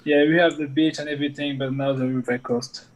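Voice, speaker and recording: A man's voice: medium pitch, flat delivery, fast talker, a crowd in the background, rough recording. A man from France with a French accent, a soccer coach giving short, polite answers in an interview.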